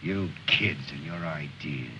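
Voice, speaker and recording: An actor playing a gruff, cynical soldier who grumbles and needles sarcastically in old film drama style. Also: male voice, extremely low pitch, slow, exaggerated voice. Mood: sardonic, impatient. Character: gruff, sardonic, cynical male soldier named Mac. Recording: dull, noisy old film soundtrack.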